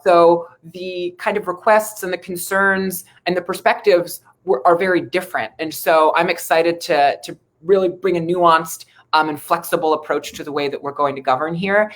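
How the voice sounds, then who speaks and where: American woman local politician in an interview, kinda low voice, earnest n measured. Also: steady tone. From Ohio, United States. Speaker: city council member, interview guest. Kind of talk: conversational interview answers about local politics.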